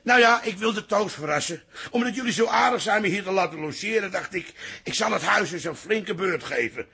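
A man's voice: high voice, animated, a little fast, creaky, pushed and bright, faint music behind, heavily acted. An actor playing a touchy older widowed father with a grumbling, defensive manner in a Dutch radio comedy.

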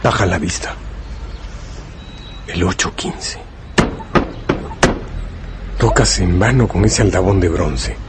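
A man's voice: low-pitched, slow pace, pauses often, very noisy. A man delivering dramatic scripted narration for a radio drama, addressing the listener directly. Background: faint music.

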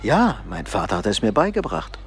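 A man's voice: lowish voice, wildly swooping pitch, normal speed, faint music behind. A man playing a mild, politely bemused middle-aged businessman in naturalistic radio drama dialogue.

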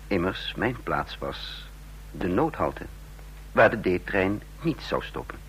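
A man playing a sardonic, commanding chief of secret agents in a radio play, brisk and teasing as he gives orders. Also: sits low, sing-song, fairly bright tone, a little hiss or hum, exaggerated voice, rough recording. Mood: sarcastic. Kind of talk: Dutch radio play acting.

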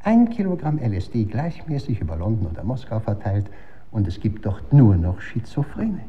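Coolly morbid weapons expert, dull noisy recording. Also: male voice, fairly low pitch, very animated, normal speed. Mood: lecturing. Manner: lecturing, savours technical terms, cynical. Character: man coolly relishing chemical weapons, pedantic morbid expert. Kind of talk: German radio drama acting.

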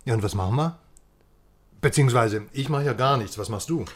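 An actor playing a wry, grumbling, sarcastic sidekick in a German radio crime drama. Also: male voice, medium pitch, brisk, lightly acted. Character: wry, grumbling, beer-drinking sidekick of an East German sleuth.

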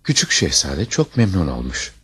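A man narrating a fairy tale as a storyteller, with dramatic scripted delivery and a deep, husky voice. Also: wildly swooping pitch, moderate pace, a bit bright.

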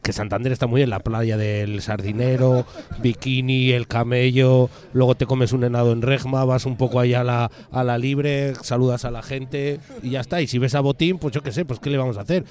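Man, Spain accent, middle pitch, radio interviewer, casual, rambling chat. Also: fairly even intonation, fairly quick, darkish tone.

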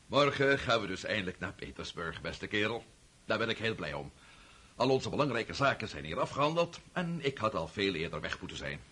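A suave, scheming older nobleman in a radio play. His voice is low and very husky, with lively swings of pitch. He is glib and pushy, talking over any objection. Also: brisk, heavily acted. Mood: smooth, self-satisfied. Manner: glib, overriding, punctuates with "hm?". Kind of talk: radio drama acting in Dutch.

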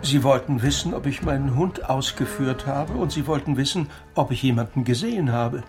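An actor playing an educated, courteous doctor under suspicion in a radio crime drama, precise and dryly ironic. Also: male voice, medium pitch, normal speed, music in the background, natural voice. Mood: cool, evasive. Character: educated, courteous, wry male doctor, an unemployed suspect.

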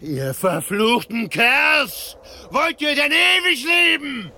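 An actor playing a ruthless warlord king in a radio play, commanding his soldiers in drawn-out words. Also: very high-pitched, animated, background noise, exaggerated voice. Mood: furious, scornful.

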